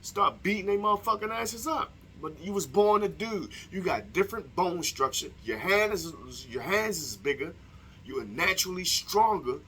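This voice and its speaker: Blunt, animated man with a bright voice. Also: normal speed, a little hiss or hum. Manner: blunt and profane, swings between lowered and raised delivery; direct address to the listener. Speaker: commentator. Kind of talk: opinion monologue.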